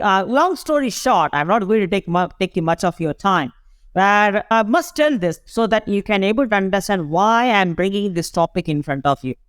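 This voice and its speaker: Smooth, unhurried South Indian podcast host. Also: mid-range voice, dry recording, studio quality. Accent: South Indian English. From Tamil Nadu, India. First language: Tamil. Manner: rambling, repeats and corrects itself, slow deliberate phrasing. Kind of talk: motivational podcast monologue.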